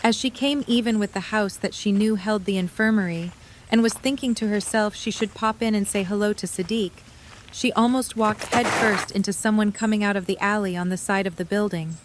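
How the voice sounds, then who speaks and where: Woman narrating, medium-pitched voice. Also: fairly even intonation, a little fast, a little hiss or hum, rough recording. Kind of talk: scripted story narration.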